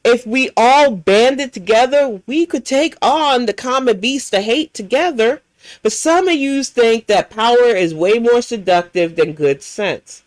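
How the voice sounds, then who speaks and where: A woman's voice: on the high side, lively intonation, unhurried, dry recording, rough recording. An American woman hosting a livestream, reacting to clips in a blunt, profane, heated rant. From United States. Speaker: livestream host.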